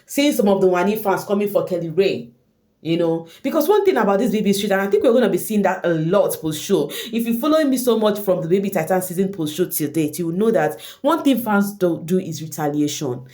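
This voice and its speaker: A woman's voice: medium pitch, a little fast. A woman with a Nigerian accent and Igbo as her first language, giving casual gossip commentary straight to her viewers and slipping in Pidgin slang. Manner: animated, addresses viewers directly, mixes in Pidgin slang. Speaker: online reality show commentator.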